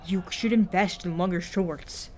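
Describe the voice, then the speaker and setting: Woman voicing a slow, exasperated, grumpy teenage boy. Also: fairly low pitch, slight background noise, close to an everyday voice. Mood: grumbling. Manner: loud, exasperated, dragged-out complaining.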